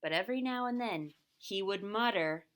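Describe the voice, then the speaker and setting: A woman's voice: mid-range voice, expressive, moderate pace. A woman narrating a scripted children's story as the storyteller of a puppet show.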